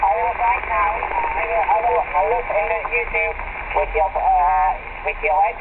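A man's voice: sits high, steady tone, moderate pace, gravelly. A man heard over shortwave radio, making a contact and repeating his words and call signs. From Finland. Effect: shortwave radio reception. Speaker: radio operator. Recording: very noisy, crackly narrowband radio reception. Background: heavy radio static.

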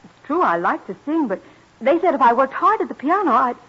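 Casting: shy, courteous teen girl for vintage radio play; high, lively, swooping delivery. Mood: unsure, earnest. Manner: hesitant, halting, well-mannered. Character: polite, modest fifteen-year-old girl singer and pianist. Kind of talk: old-time American radio drama acting. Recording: muffled, lo-fi old radio broadcast.

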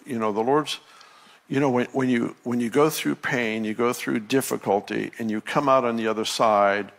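Gravelly earnest man, reflective pastor. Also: medium pitch, fairly even intonation, moderate pace. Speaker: pastor interviewing a guest. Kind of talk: conversational ministry interview.

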